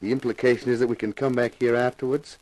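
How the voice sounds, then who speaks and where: An actor playing a wry, flirtatious American sleuth with a dry wisecracking manner, narrating and talking in a 1950s radio detective drama. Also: male voice, medium pitch, little pitch movement, fast, darkish tone, close to an everyday voice, poor audio.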